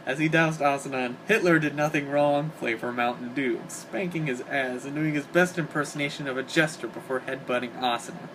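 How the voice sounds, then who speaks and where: Guy doing a dramatic story reading, hobby call recording with clear noise behind him. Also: medium pitch. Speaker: reader of fan fiction. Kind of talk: dramatic reading of comic fan fiction. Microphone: home microphone on an internet call.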